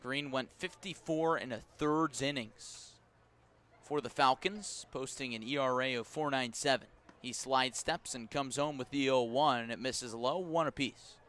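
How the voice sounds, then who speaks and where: A man calling live baseball play-by-play as a radio announcer, measured between pitches and lifting with the action. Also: a bit bright. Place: outdoor ballpark broadcast.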